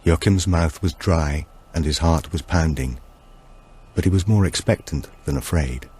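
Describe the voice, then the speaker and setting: A man with a low voice narrates a suspense story. His delivery is measured and deliberate, in the manner of a scripted audiobook thriller. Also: a little mellow, husky.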